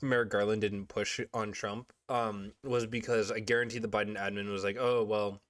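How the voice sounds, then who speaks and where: A man's voice: lowish voice, level tone, moderate pace, a bit bright, no echo. A young man in his late teens, congested, reacting to news on a livestream with frustrated, profane asides. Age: young adult. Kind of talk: casual livestream commentary.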